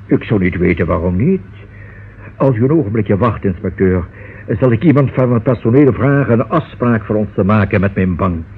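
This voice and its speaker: A husky-voiced, fussy solicitor, nervous and forgetful. His hesitant speech swings up and down in pitch. It sounds like a muffled old radio play. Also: expressive, normal speed, exaggerated voice. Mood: indignant. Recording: muffled, band-limited old radio recording.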